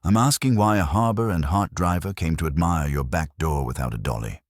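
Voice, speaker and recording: Man voicing a probing, very deep detective. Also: brisk, no room sound. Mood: probing, dry. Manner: clipped, dry questioning. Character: cool, probing male private detective.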